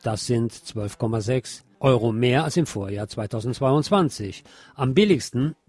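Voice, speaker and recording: A man presenting a scripted news magazine on the radio as narrator, with a husky voice. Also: mid-range voice, lively intonation, moderate pace, darkish tone, no echo.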